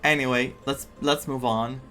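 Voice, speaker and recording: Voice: mid-range voice, fairly even intonation, moderate pace, noisy background. A game streamer giving casual, chatty commentary on a story playthrough in an informal, reactive way. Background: game music.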